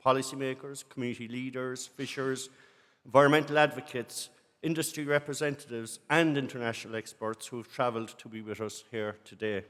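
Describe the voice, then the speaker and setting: A man's voice: medium pitch, fairly bright tone. A man speaking as a lord mayor, giving a formal, scripted welcome speech to a conference audience. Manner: formal, ceremonial, reads from a script. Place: large city hall with an audience. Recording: long echoing reverb of a large hall.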